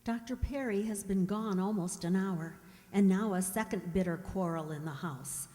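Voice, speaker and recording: An actress playing a fearful mute young woman whose inner thoughts are heard in a hushed, halting monologue, in old radio thriller style. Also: medium pitch, lightly acted. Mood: uneasy, brooding. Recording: reverberant radio play with music behind.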